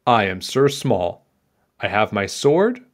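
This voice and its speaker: A man's voice: lowish voice, big pitch swings, slow. A man voicing a tiny, brave, confident storybook knight who makes plucky declarations. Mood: brave, determined.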